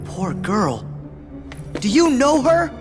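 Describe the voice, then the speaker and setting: A man's voice: very high voice, wildly swooping pitch, slow pace, big-room sound, over music, exaggerated voice. A man playing an earnest, hot-headed teenage boy hero in a video game cutscene. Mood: puzzled. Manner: earnest, impulsive.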